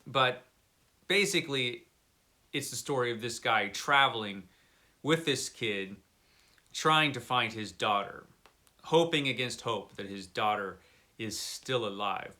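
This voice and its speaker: A man's voice: mid-range voice, lively intonation, a little slow, long pauses, sharp tone. A man hosting a book channel, chatting casually to viewers and leaning on words for emphasis. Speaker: book review channel host. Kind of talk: casual book chat to camera.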